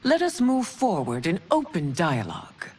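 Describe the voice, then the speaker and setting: An actress playing a dignified, gracious empress in a video game, speaking in a formal, authoritative way. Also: female voice, lowish voice, huge pitch swings, moderate pace, darkish tone, husky, heavily acted. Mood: solemn, authoritative. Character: regal, dignified empress, firm and gracious ruler. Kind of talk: dramatic video game acting.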